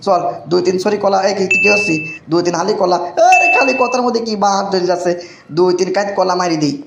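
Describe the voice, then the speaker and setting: Squeaky, gravelly cartoon village schemer. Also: male voice, fast. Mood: grumbling, scheming. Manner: exclamatory, repetitive, blustering. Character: Motin, brash excitable young village friend, comic schemer. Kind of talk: comic cartoon dubbing in village dialect. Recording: roomy echo, clearly noisy background.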